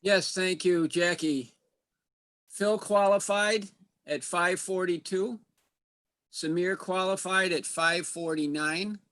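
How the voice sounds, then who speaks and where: A man serving as meeting timer on a video call, reading out the results in a measured way. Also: sits high, fairly even intonation, fairly bright tone.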